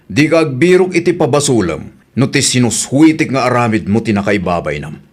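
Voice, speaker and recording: An actor with an Ilocano accent playing a forthright, righteous village husband in a radio comedy drama. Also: male voice, medium pitch, very animated, brisk. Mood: scolding.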